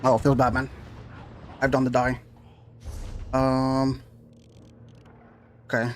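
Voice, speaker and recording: A man streaming a game live, rambling casually and thinking out loud as he plays and answers chat. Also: mid-range voice, monotone, takes it slowly, a little hiss or hum. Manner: rambling, laid-back, thinks aloud with fillers.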